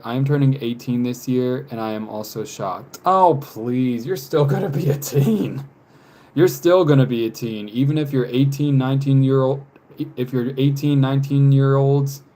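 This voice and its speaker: A man in his twenties chats casually on a live stream, taking his time with each thought. His words come out slowly and wander from point to point, broken up by easy little laughs. His voice sits at an ordinary middle pitch. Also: a little mellow. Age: 20s. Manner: rambling, laughs often, reads chat messages aloud. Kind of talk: casual chat while drawing on a live stream.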